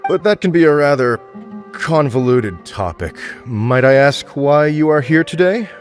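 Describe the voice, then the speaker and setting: A man's voice: on the high side, lively intonation, a little slow, soft background music. A man voicing a formal, stern but fair administrator in a game, polite and businesslike. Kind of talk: game voice acting.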